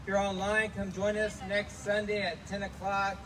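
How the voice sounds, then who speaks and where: A male pastor making brisk, friendly closing announcements at a church service, heard from away from the microphone. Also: high-pitched, completely flat delivery, background noise. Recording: distant voice, away from the mic.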